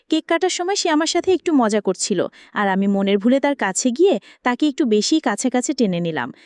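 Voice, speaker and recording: A woman's voice: sits high, a little mellow, dry recording. A woman reading a scripted romantic story aloud in the first person, as an audio story narrator.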